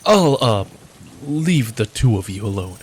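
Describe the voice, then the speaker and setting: A man's voice: lowish voice, big pitch swings, a little slow, husky, full character voice. A man playing a deferential lamia servant in a fantasy audio drama, talking politely and formally with a slightly nervous, humble manner. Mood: flustered.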